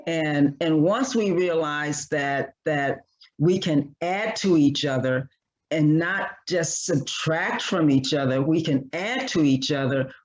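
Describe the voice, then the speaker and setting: A man speaking as a panelist in an online discussion, earnest and repetitive, halting with many restarts. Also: a little high, lo-fi sound.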